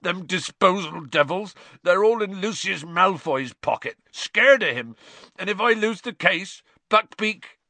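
A man voicing a huge, soft-hearted gamekeeper who sobs and talks in a rough rustic dialect. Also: lowish voice, lively intonation, moderate pace, no room sound. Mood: sobbing.

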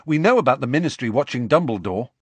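An eager teenage boy wizard, put on by a male narrator, pitched up and husky, rushing his words with urgency. Dry, close sound. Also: very animated, fairly bright tone, no room sound. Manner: urgent, quick.

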